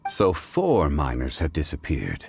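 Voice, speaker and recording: A composed, learned man with a very deep voice that still moves expressively. He speaks formally and courteously, faint music behind him. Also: huge pitch swings, a little slow, gravelly, heavily acted. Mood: calm. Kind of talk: game voice acting. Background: faint game music.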